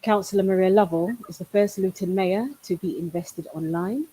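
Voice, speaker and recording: A woman's voice: medium pitch, moderate pace, warm tone, husky, poor audio. A woman hosting an online event, formally reading out an introduction for a guest speaker. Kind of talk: formal introduction read aloud. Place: online video call.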